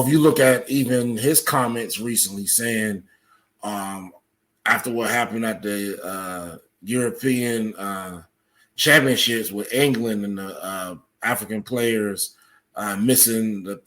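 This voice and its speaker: Podcast guest guy, lowish voice, explaining stuff kinda halting and measured, researcher type. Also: a bit bright. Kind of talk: explanatory interview talk.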